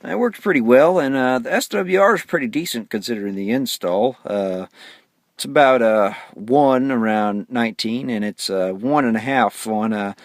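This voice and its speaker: A man giving a casual hands-on walkthrough as a vlogger, speaking haltingly and often pausing mid-sentence. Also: mid-range voice, no room sound, studio quality. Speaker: vlogger showing a radio install.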